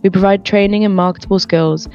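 A woman reading a scripted podcast intro in a smooth, even way. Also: mid-range voice, fast. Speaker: announcer. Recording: lo-fi with music behind.

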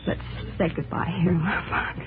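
A woman's voice: measured pace, a little hiss or hum, natural voice. An actress playing a proud, plainspoken young working-class woman in a 1940s radio drama, emotional and outspoken. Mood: regretful. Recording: tinny old radio broadcast with music.

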